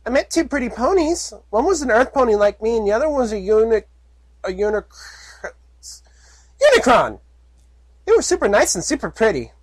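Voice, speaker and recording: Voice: mid-range voice, big pitch swings, normal speed, long pauses, dry recording, pitched above the performer's own voice. A storyteller voicing a cheerful, naive young filly pony who talks in a bubbly, childlike squeal. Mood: giddy.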